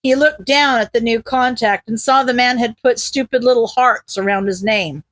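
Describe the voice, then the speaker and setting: A woman narrates a piece of fiction expressively in a high voice. The recording is very dry, with hardly any room sound. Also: moderate pace, a bit bright, no echo. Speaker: podfic narrator.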